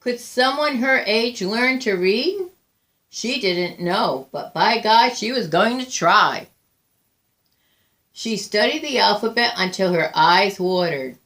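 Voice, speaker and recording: Slow, expressive woman reading a storybook. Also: mid-range voice. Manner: deliberate, expressive, with drawn-out emphasis and pauses. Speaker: volunteer picture book reader. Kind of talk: read-aloud storytelling of a children's picture book.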